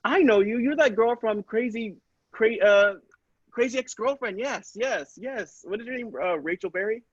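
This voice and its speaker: A man's voice: high voice, normal speed, husky, no room sound, lo-fi sound, voice pushed up. A man playing a nervous, star-struck gas station clerk in improvised comedy, meek, hesitant and rambling.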